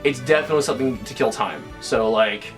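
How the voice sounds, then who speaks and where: A young man in his late teens chats to his camera in an easygoing, rambling way, circling back and restarting his sentences as he thinks aloud. His voice sits at an ordinary middle pitch, with a little music playing faintly behind him. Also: a little fast. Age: young adult. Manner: rambling, full of restarts and fillers. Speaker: YouTuber. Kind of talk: casual vlog talk.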